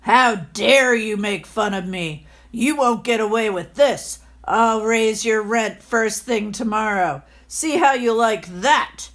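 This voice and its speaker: Woman playing a grumbling, slow-talking mean old man. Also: fairly high pitch, fairly bright tone. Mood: furious. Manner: grumbling, scornful, drawn-out exclamations. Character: mean, miserly, grumbling old male landlord. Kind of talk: children's storybook read-aloud.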